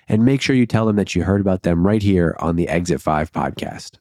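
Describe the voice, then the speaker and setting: Low-voiced man hosting a podcast. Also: brisk, darkish tone, dry recording, clean studio sound. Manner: loose, chatty, jokey asides. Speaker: podcast host. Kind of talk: casual podcast chat and ad read.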